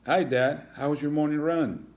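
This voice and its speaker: A man's voice: a little high, fairly even intonation, moderate pace, a little mellow, voice pushed up, natural voice. Man voicing a shy, worried young iguana boy. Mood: curious. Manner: anxious, hesitant questioning.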